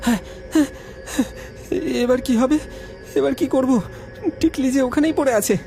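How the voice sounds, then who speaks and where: Fearful young villager acted out: high voice, urgent, stammering, repeats words, horror cartoon with music behind. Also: steady tone, moderate pace, mellow. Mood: distressed. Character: panicky, fearful young villager fleeing a ghost.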